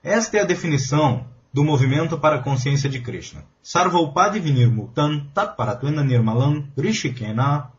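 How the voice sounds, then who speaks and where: A man's voice: on the high side, big pitch swings, moderate pace, few pauses, highs cut off. Emphatic man reading a spiritual lecture. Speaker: voice-over reader of a translated lecture. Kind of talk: read-aloud spiritual lecture translation.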